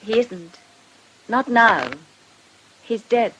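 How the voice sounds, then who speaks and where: An actress playing a gentle, wistful, sympathetic woman in a radio drama, speaking earnestly with some hesitancy. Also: fairly high pitch, animated, extremely slow, slight background noise, exaggerated voice, poor audio.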